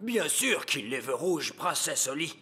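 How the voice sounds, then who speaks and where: A man's voice: a little high, sing-song, measured pace, bright, forceful tone, exaggerated voice. A man voicing a proud, earnest elf toy maker in a French-dubbed children's cartoon, explaining things self-importantly. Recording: music behind.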